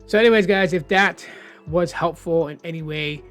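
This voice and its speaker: A man explaining phone unlock rules in a casual, rambling vlog, hoarse as he says he is losing his voice. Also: on the high side, moderate pace, a bit bright, faint music behind. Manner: chatty, rambling, opinionated. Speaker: tech vlogger.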